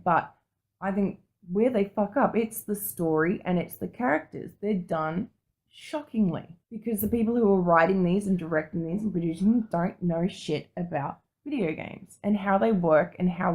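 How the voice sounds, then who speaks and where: A woman's voice: lowish voice, normal speed, darkish tone, rough recording. A woman co-hosting a podcast, talking casually in an opinionated, informal way with some swearing. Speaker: podcast co-host. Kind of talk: casual panel discussion.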